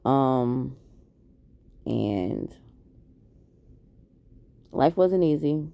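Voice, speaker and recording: A woman around forty from Queens talks to camera, with a gravelly edge and a very dark, mellow tone. She is hesitant and reflective, full of pauses and false starts. The sound is dry, with no room echo. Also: extremely low pitch, animated. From Queens, New York. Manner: hesitant and reflective, many ums and restarts. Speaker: vlogger. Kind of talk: candid late-night confessional talk to camera.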